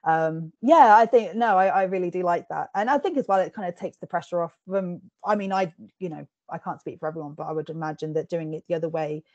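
A woman's voice: lowish voice, quick pace, hardly any pauses, a little mellow, dry recording, lo-fi sound. A woman hosting an interview, talking casually with frequent giggles, fillers and restarts over a remote call. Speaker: podcast host interviewing an author.

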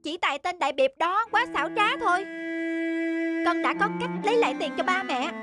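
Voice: very high voice, lively intonation, fairly quick. An actor playing a clever, polite and earnest young son in a folk-tale cartoon. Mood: confident, reassuring. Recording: music behind the voice.

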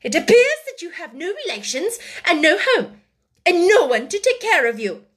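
A woman voicing a haughty, domineering schoolmistress in an audiobook, sharp-tempered, scolding and imperious. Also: extremely high pitch, very animated, normal speed, voice pushed up, full character voice. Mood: contemptuous. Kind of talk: audiobook character acting.

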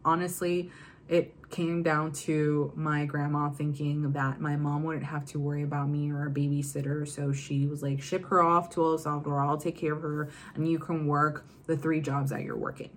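A woman's voice: low voice, flat delivery, moderate pace, slight background noise. A young woman working as a love and confidence coach, talking casually and leaning on the phrase "go ahead and.". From Virginia, US. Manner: chatty, repeats "go ahead and". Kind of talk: casual talk to camera.

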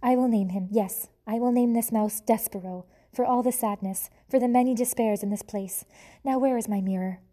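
A vain, sighing mother mouse with a French accent , as a woman puts her on. She is loud and a little high, and her tone stays warm and mellow. She plays for sympathy in a storybook way. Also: moderate pace, close to an everyday voice. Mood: melodramatic. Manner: theatrical, sighing, self-pitying.

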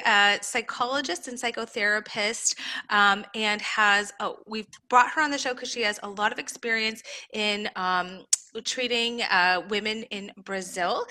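A woman with a fairly high, bright voice hosts a podcast in an upbeat, chatty way. She welcomes listeners warmly and moves easily between introductions and questions. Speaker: podcast host. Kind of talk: podcast interview, reading a sponsor ad.

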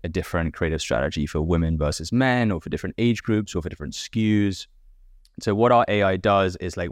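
Deep-voiced British man explaining casually. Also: dry recording, studio quality. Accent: British, softened by years in America. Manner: relaxed, explanatory, leans on "like" and "basically". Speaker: startup founder guest. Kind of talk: casual podcast interview.

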